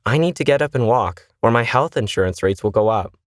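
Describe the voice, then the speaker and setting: A man's voice: mid-range voice, animated, fairly quick, no room sound, clean studio sound, pitched above the performer's own voice, close to an everyday voice. A man voicing a stressed, weary woman who trains machines, curt and defensive, in an audio story. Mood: stressed, protesting.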